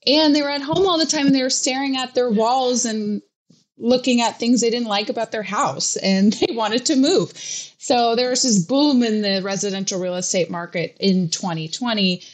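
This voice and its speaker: A woman's voice: a little high, normal speed. Chatty young woman reporter. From Hawaii. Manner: chatty, with many fillers and restarts. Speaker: real estate reporter. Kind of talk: casual podcast interview.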